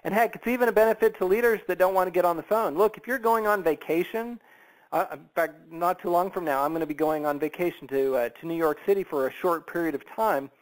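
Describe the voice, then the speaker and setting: High-pitched man giving an emphatic sales pitch. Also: quick pace, rough recording. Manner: persuasive, emphatic, conversational, with rhetorical questions. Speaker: sales presenter on a business opportunity conference call.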